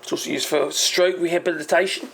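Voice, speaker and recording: Brief: hoarse male channel host, gravelly and slightly high, rambling and chatty. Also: steady tone, fast, fairly bright tone, slight background noise. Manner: rambling, hesitant, self-correcting. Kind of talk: casual explainer monologue.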